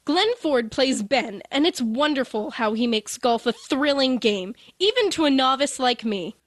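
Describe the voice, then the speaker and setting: A female movie reporter in the scripted style of 1950s radio. Her delivery is polished and gushing, in a fairly high voice with a dry recording. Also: animated, unhurried, no room sound.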